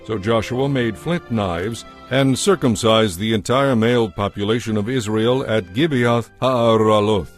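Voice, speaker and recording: Low-pitched man narrating scripture solemnly. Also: unhurried, hardly any pauses. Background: background music.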